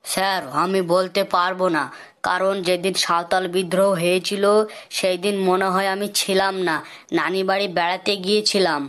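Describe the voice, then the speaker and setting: A woman's voice: medium pitch, flat delivery, moderate pace, fairly bright tone, lightly acted. A woman voicing a cheeky schoolboy who answers back matter-of-factly in a comic cartoon dub. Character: cheeky schoolboy telling tall tales to teacher.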